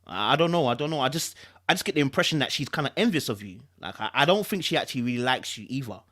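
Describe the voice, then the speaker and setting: A man's voice: medium pitch, animated, quick pace, pushed and bright. A man voicing a controlling husband who feigns concern with stammering, insistent talk in a dramatic book reading. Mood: hesitant, insinuating. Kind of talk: dramatic audiobook-style reading.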